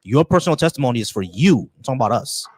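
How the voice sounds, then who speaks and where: A man's voice: medium pitch, big pitch swings, rapid-fire, no room sound. Insistent male debate host, dry sound. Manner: insistent, repeats questions and interrupts. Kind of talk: heated call-in debate.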